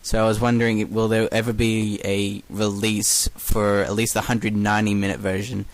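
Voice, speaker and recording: A young man from Australia with an accent softened toward American, leaving a casual, halting listener message. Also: lowish voice, little pitch movement. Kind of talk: casual recorded question.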